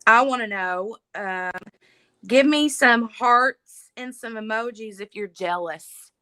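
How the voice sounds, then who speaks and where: A woman's voice: a little high, takes it slowly, bright, forceful tone, no room sound, low-quality recording. A woman hosting a casual livestream from home, chatting and laughing and reading viewer comments aloud. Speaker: livestream host. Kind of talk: casual live chat.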